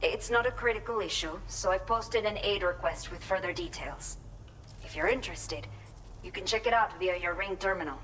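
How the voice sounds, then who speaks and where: A woman's voice: on the low side, moderate pace, fairly bright tone, slight background noise, close to an everyday voice, rough recording. A woman playing a hopeful, caring settlement resident in a video game, explaining things earnestly. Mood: hopeful, informative. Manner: earnest, informative. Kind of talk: scripted game cutscene dialogue.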